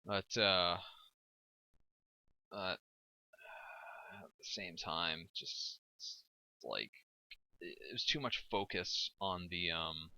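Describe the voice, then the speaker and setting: A man casting a strategy game replay in a chatty, halting way, laughing often, through a home microphone. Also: low voice, gravelly, pushed and bright, no room sound. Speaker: game caster. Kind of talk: casual strategy game commentary.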